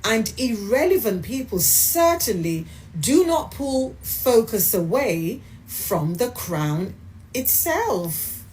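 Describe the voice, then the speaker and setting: A woman's voice: a little high, sing-song, takes it slowly, darkish tone, slight background noise, poor audio. A woman delivering an emphatic opinion monologue with rhetorical questions. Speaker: commentator in a played clip.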